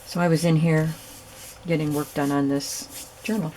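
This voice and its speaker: A woman hosting a crafting video, hoarse and raspy from lack of sleep, chatting casually as she works. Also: low-pitched, moderate pace, slight background noise, poor audio. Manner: relaxed, chatty, thinking aloud while working. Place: home craft room.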